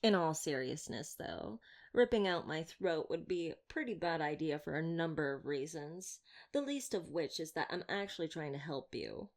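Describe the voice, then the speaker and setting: A woman's voice: sits low, lively intonation, normal speed. A woman playing a chatty, flirtatious, theatrical vampire hunter in an audio roleplay, wordy, teasing and mock-grand. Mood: coaxing, flirtatious. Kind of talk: ASMR roleplay audio drama addressed to listener.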